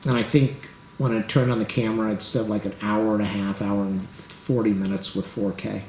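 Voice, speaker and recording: A man's voice: on the low side, little pitch movement, moderate pace, a little mellow, slight background noise. Man talking to camera while he waits, voice wearing out; roomy echo. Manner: rambling, talks to the camera while waiting. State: losing his voice, clearing his throat. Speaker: YouTube tech tutorial host. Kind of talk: rambling casual screen-recording commentary.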